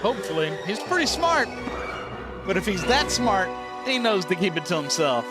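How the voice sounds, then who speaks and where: A man's voice: high voice, very animated, normal speed, loud music behind the voice. A man hosting a reaction video, giving casual, rambling, informal commentary.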